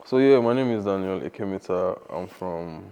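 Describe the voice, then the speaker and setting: A young man, talking casually as a podcast guest in a relaxed, rambling way. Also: low voice, moderate pace, clean tone. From Nigeria. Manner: relaxed, rambling, many fillers and restarts.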